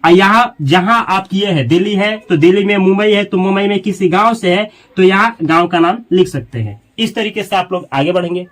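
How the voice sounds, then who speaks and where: A man teaching a spoken English lesson, explaining in Hindi and reading English sample sentences slowly and deliberately. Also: sits high, fairly bright tone.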